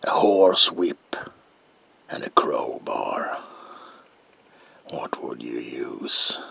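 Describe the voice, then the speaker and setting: Here is a deranged male comic-book villain, very low and gravelly. He drawls theatrically in an ASMR roleplay, swinging wildly in pitch with a playful, menacing edge. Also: a bit bright, full character voice. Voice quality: breathy gravelly whisper.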